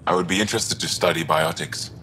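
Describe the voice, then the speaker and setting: An actor playing a calm, courteous alien doctor in a video game, speaking in a measured, formal way. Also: male voice, low voice, slight background noise, poor audio. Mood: measured, curious.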